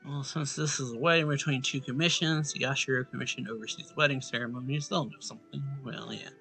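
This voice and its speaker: Male gaming streamer, casual rambling commentary, bright and gravelly, close mic. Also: medium pitch, fairly even intonation, fast, soft background music. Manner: offhand, rambling. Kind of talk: casual let's play commentary.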